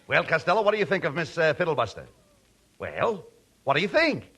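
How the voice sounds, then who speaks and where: A man playing straight man in a 1940s radio comedy, brisk and scolding. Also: a little high, sing-song. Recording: noisy 1940s radio broadcast.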